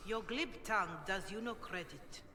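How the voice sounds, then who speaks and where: An actress as a stern older cleric, echoing hall. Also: female voice, medium pitch, pushed and bright, a little hiss or hum, natural voice. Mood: reproachful. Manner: formal, measured, reproachful. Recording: long reverb with background ambience.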